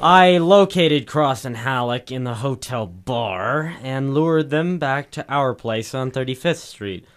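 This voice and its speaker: An actor playing a brash, wisecracking detective's assistant and narrator, breezy and cheeky, in a 1940s radio drama. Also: male voice, mid-range voice, expressive, slow, hardly any pauses. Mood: wry narration.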